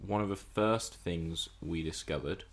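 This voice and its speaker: Male, deep voice. Thoughtful podcast co-host, casual but analytical. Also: measured pace. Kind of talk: casual film and TV discussion.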